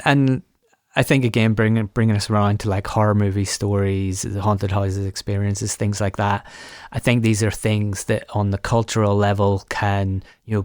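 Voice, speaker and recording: A man's voice: lowish voice, darkish tone, no echo, clean studio sound. A man hosting a conversational interview podcast, putting his thoughts and questions in a tentative, roundabout way.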